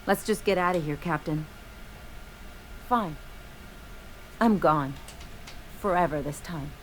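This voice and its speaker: An actress playing a brash, bitter young adventurer in a video game, with a sardonic, theatrical way of telling stories. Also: female voice, deep voice, takes it slowly, noisy background. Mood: indignant. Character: brash young adventurer woman, bitter, dramatic, estranged from parents.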